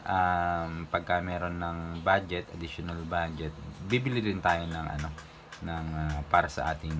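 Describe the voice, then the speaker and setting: A Filipino man hosting a casual unboxing vlog, mixing Tagalog and English in a halting, hesitant way. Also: deep voice, fairly bright tone, slight background noise. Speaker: motovlogger host.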